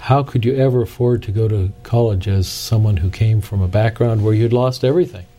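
A man's voice: fairly low pitch, a little fast, mellow. A man hosting an interview, summarizing his guest's points and asking probing follow-up questions. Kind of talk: conversational interview.

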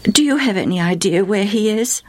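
A woman's voice: mid-range voice, expressive, voice pushed up, natural voice. A woman storyteller voicing a desperate, eager mother in a children's Bible story, earnestly imploring. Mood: anxious, eager. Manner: earnest, imploring, drawn-out pleas.

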